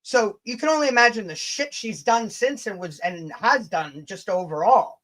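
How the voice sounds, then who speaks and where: A woman's voice: medium pitch, animated, moderate pace, fairly bright tone. A woman hosting a casual livestream rant, blunt, combative and sweary, with chatty asides to her viewers. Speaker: livestream host.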